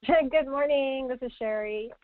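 Woman caller, fairly high voice, casual reflective chat, thin telephone sound. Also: slow. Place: phone call into a live show. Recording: thin, dry telephone line.

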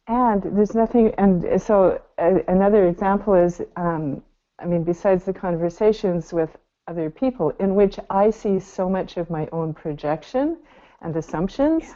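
A woman caller shares her reflections in a thoughtful, slightly halting conversational way. Her voice is very mellow and soft-edged at a medium pitch, heard over a dull, lo-fi call. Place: remote call to a live show.

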